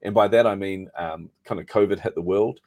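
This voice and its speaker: A man's voice: low voice, fairly quick, darkish tone. A middle-aged man with a New Zealand accent, telling his career story as an interview guest in a chatty, self-deprecating way. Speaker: podcast guest, marketing coach.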